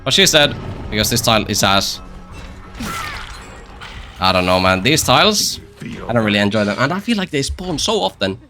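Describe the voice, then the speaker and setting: A man's voice: fairly low pitch, very animated, moderate pace, pauses often, bright, forceful tone, soft background music. A man giving casual gameplay commentary as a streamer, rambling and repeating phrases with self-deprecating, joking asides. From Finland. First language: Finnish. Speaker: game streamer.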